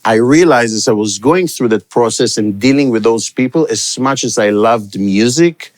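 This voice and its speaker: A middle-aged man answers interview questions in a reflective, storytelling way. His speech rambles and restarts as he works through his thoughts. His pitch sits in an ordinary middle range for a man. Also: lively intonation, moderate pace. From Israel, raised in Africa. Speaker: guest, sales expert.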